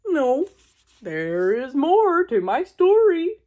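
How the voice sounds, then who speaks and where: A woman voicing an earnest male elephant in a storybook, telling his woes in a deliberate, drawn-out, dramatic way. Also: sits high, big pitch swings, a little mellow, voice pushed up, full character voice. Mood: grave, dramatic.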